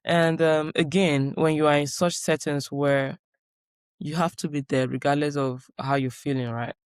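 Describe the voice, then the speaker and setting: A woman guest in a conversational interview, reflective and calm, repeating her key phrases for emphasis. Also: low-pitched, moderate pace, clear, resonant tone, no room sound, studio quality. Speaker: guest, doctoral researcher.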